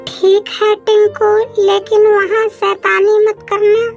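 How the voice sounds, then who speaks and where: A woman's voice: very high voice, completely flat delivery, slow pace, gravelly, soft background music, heavily acted. A woman voicing a caring, earnest young sister bird in a cartoon. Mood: caring, cautioning. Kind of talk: cartoon character dialogue.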